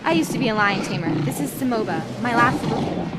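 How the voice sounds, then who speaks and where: A woman's voice: fairly high pitch, expressive, fast, noisy background. A woman playing a sly, composed circus owner with a theatrical, knowing manner in a noir radio drama.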